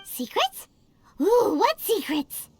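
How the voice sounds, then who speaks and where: A woman voicing a tiny, bossy, curious fairy girl companion in an anime video game, squeaky and childlike. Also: very animated, takes it slowly, darkish tone, full character voice. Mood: curious, doubtful. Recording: music bed behind the voice.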